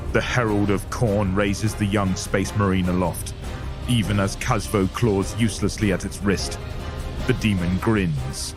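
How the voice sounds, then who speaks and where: A man narrating a scripted audio story in a dramatic style. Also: on the low side. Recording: clear music bed under voice. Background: dramatic music.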